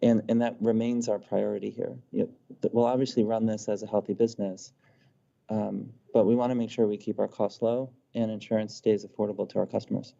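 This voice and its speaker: A man speaking as a company executive, giving measured business remarks on an earnings call. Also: fairly low pitch, level tone, vocal fry, dark tone. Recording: muffled conference call audio.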